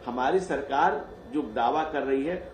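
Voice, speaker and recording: A man's voice: medium pitch, unhurried. Man in echoey, noisy room. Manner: declarative, repetitive, slogan-laden. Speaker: ruling party spokesman, debate guest. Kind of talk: political rhetoric.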